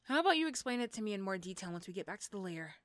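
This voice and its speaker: A woman's voice: mid-range voice, very animated, rapid-fire, fairly bright tone, dry recording. A woman playing a sardonic, threatening but begrudgingly fond female supervillain in an ASMR roleplay. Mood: dry, casual.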